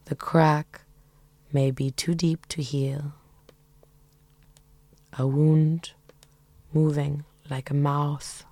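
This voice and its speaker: A woman reads poetry very slowly, in a hushed voice that often drops to a whisper. Her voice is very deep, dark, and gravelly. The sound is close and dry. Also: no room sound. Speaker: poetry reader. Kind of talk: slow dramatic poetry reading.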